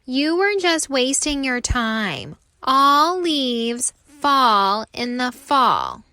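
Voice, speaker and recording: Woman voicing loud, smug, taunting autumn leaves. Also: high voice, sing-song, clean tone, no echo, pitched above the performer's own voice, heavily acted. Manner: loud, drawn-out, mocking.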